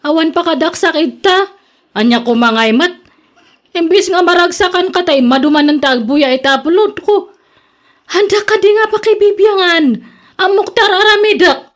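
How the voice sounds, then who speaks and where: A woman's voice: very high-pitched, normal speed. An actress with an Ilocano accent playing a stubborn, vain woman vlogger hungry for fame, in theatrical radio drama style. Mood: defiant, self-important.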